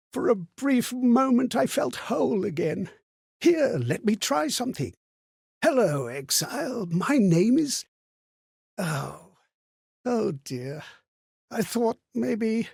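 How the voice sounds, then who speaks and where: An actor playing a frail, forgetful old man in a video game, anxious and kindly, with a hesitant, plaintive delivery and a husky, breathy voice. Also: sits high, no room sound, studio quality, full character voice. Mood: earnest, hopeful, then disappointed, resigned. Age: older. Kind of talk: theatrical video game character acting.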